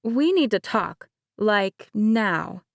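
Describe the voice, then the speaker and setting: A woman's voice: mid-range voice, expressive, drawn-out words, creaky, dry recording, voice pushed up, exaggerated voice. A direct, earnest young wedding planner with a firm, plain delivery, as a woman reads her lines. The sound is close and dry, with no room around it.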